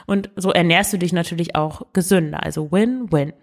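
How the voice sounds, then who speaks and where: A woman's voice: fairly low pitch, a little fast. German woman with a cold, chatty podcast host. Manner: chatty, direct, addresses listener as du. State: has a cold. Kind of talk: casual money-saving tips podcast.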